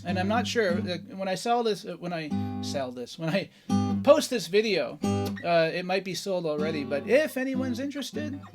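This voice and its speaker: A man's voice: high-pitched, big pitch swings, moderate pace. A man hosting a casual guitar review, chatty and enthusiastic, with loose fillers and exclamations. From Canada. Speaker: host, guitarist reviewing gear. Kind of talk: casual gear review talk. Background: acoustic guitar playing.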